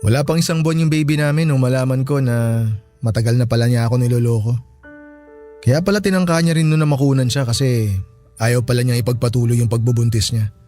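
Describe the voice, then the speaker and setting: Young single father for Filipino radio drama, earnest and courteous, average male pitch, music bed male actor. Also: fast, warm tone, natural voice. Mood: earnest, hurt. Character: polite, earnest young single dad, former flame.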